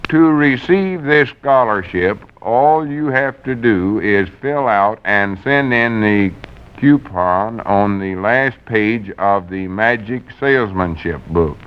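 An actor playing an excitable, gullible, vain country man with a folksy rural Southern drawl in old radio comedy. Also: lowish voice, clear, resonant tone. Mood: eager, then annoyed. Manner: folksy drawl, halting when reading aloud. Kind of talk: 1940s radio comedy acting. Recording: muffled vintage radio recording.

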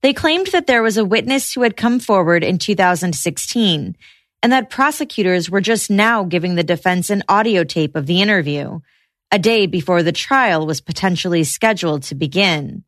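A woman hosting a podcast, narrating a true-crime case in steady scripted storytelling. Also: on the low side, normal speed, no echo, clean studio sound.

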